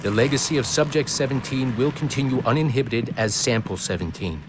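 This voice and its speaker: An actor playing a detached corporate agent in a game, giving a formal, clinical report. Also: male voice, medium pitch, a little fast, noisy background, lightly acted. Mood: clinical, matter-of-fact. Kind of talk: scripted formal report narration.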